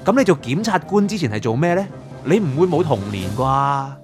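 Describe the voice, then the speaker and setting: A man's voice: fairly high pitch, big pitch swings, brisk, music in the background. A man with a Hong Kong Cantonese accent playing a curious, chatty young man in an audio drama. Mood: curious, probing. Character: curious, chatty young man probing a soul prosecutor's past.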